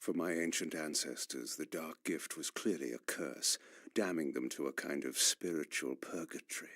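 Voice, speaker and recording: An actor playing a proud, brooding ancient vampire in a video game, speaking in formal, theatrical, measured diction. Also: male voice, extremely low pitch, a bit bright, no room sound, studio quality, exaggerated voice. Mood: brooding, ominous. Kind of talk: dramatic scripted narration and dialogue for a video game.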